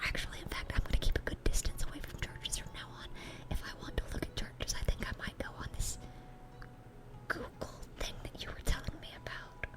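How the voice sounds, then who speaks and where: A woman's voice: brisk, close to an everyday voice. Whispering dorky demon girl, voiced by a woman. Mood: rambling, earnest. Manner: rambling, stammering, eager and awkward. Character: dorky, chatty, sweet demon girl with horns and fangs. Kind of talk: whispered ASMR roleplay.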